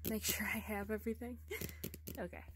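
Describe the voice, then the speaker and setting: A woman speaking softly and often whispering in a relaxed ASMR girlfriend roleplay, recorded indoors among bookshelves on a handheld phone. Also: mid-range voice, a little fast, background noise. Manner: soft-spoken, drifting into whispers.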